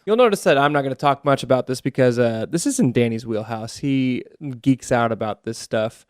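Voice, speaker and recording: A man chats easily on a podcast, tossing in jokes and poking fun at himself. His voice is smooth and resonant at a middle pitch. The recording is dry and close. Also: a little fast, a little mellow, dry recording. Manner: jokey, laid-back, self-deprecating. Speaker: podcast co-host, choir teacher. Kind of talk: casual podcast chat.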